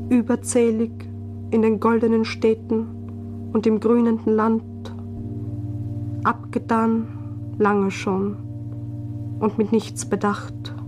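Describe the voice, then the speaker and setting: Slow, flat-toned woman reciting. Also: fairly high pitch. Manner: slow, monotone, deliberate phrasing. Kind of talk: solemn literary recitation.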